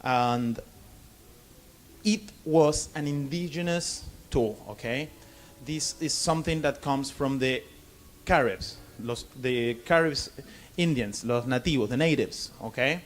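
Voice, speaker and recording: A man from Argentina with a Spanish accent, giving an informal lecture in halting English and often checking in with "okay?". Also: mid-range voice. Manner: halting non-native English, frequent "okay?" check-ins. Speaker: bartender giving a seminar. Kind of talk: informal lecture to a live audience.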